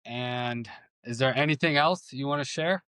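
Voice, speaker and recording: A man hosting a podcast, relaxed and affirming in casual conversation. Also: mid-range voice, moderate pace, clean tone, dry recording, clean studio sound. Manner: relaxed, affirming, ends with corny jokes. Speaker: podcast host.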